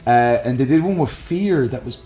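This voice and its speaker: Male podcast host, medium pitch, keen and opinionated, casual and sweary, roomy sound with faint music behind. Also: animated, fairly quick, warm tone. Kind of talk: casual chat about games and films.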